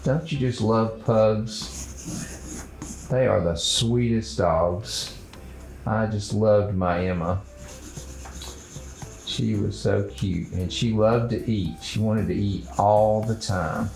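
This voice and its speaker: A man hosting a children's drawing show, talking in a gentle, unhurried, halting way as he thinks aloud through a casual lesson. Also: fairly low pitch, vocal fry, roomy sound, rough recording.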